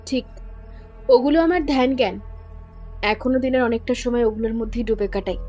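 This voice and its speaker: A woman's voice: on the high side, a little fast, warm tone, lightly acted. A woman playing an educated, impatient young woman who answers curtly and defensively. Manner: curt, defensive. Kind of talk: audio drama acting. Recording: faint background music.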